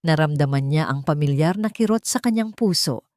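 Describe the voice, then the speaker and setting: A woman narrating a scripted romantic audio drama in a steady, emotive storytelling manner. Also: sits low, moderate pace, dry recording, studio quality.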